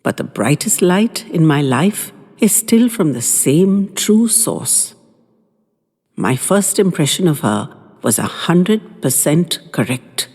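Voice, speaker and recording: Husky-voiced woman narrating with lively pitch. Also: slow pace. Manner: expressive, dramatic reading with wide swings. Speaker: audiobook narrator. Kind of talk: scripted first-person story narration. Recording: long reverberant hall.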